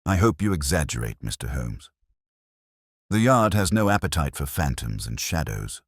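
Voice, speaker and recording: A man's voice: a bass voice, big pitch swings, moderate pace, slightly rough, no echo, clean studio sound, heavily acted. A man voicing a sceptical, uneasy police inspector in an audiobook, formal, cautious and matter-of-fact. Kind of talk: audiobook detective story dialogue.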